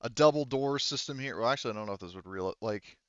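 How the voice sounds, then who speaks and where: A man's voice: medium pitch, fast talker, a bit bright, smooth, no room sound, dull audio. A man speaking as game master in a casual, rambling way, explaining rules and describing scenes and often correcting himself.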